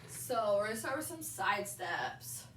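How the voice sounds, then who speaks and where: Bright-voiced woman fitness instructor, echoey room. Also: medium pitch, very animated, a little hiss or hum. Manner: upbeat instructing cues, brief pauses between moves. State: allergies, slightly nasal. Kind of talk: guided exercise class. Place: large indoor wellness room with wood floor. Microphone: far camera on a tripod. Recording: roomy echo, voice from far off.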